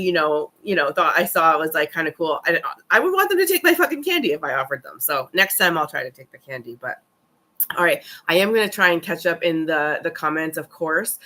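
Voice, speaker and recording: A woman's voice: low voice, sharp tone. A woman hosting a casual live stream, chatting with viewers in a loose, chatty way, swearing freely and laughing often.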